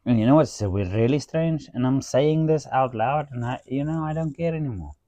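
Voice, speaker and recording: A podcast host from South Africa with an Afrikaans accent, telling stories in a casual chat, animated and swearing, often saying "dude". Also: male voice, mid-range voice, normal speed, no room sound. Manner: animated, swearing storyteller who says "dude" a lot.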